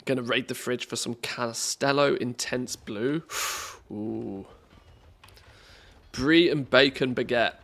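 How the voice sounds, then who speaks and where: A man from England with an English accent talks off the cuff as a livestreamer. His voice sits at an average pitch for a man. His delivery is informal and joking, often at his own expense. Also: takes it slowly, vocal fry, a bit bright. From England, born in the northwest, lived mostly in the Midlands. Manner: jokey, self-deprecating. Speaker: game livestreamer. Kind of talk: casual livestream chat.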